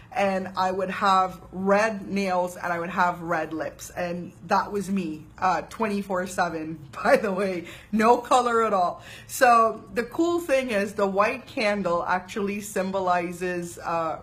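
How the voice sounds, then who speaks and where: A woman talks through spiritual practices in an easy, conversational way, with a voice in the middle range. She is animated and chatty, drifting into fillers and quick "right?" check-ins as she goes. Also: medium pitch. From Trinidad and Tobago. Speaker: spiritual teacher. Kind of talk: casual spiritual talk to camera.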